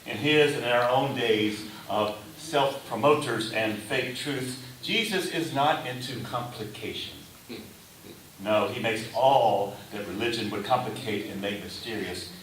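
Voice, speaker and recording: A male preacher delivers a sermon in a conversational style, at a medium pitch. His voice is picked up from a distance in a heavily echoing room, with clear background noise. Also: measured pace, fairly bright tone. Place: large reverberant indoor church space. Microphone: distant microphone.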